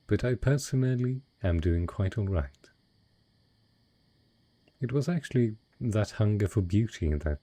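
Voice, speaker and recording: Male actor for cunning, wealthy dragon in disguise, romantic fantasy audio drama. Dark, mellow, husky voice, refined and unhurried. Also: low-pitched. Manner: refined, eloquent, unhurried storytelling. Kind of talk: romantic fantasy audio roleplay, intimate monologue.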